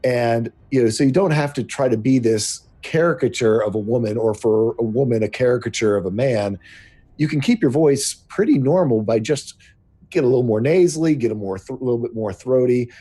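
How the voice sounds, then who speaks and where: A man player with a deep voice, chatting casually with thoughtful, hesitant remarks about voicing characters. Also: expressive, a little fast, mellow, breathy edge. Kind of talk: casual chat giving tips.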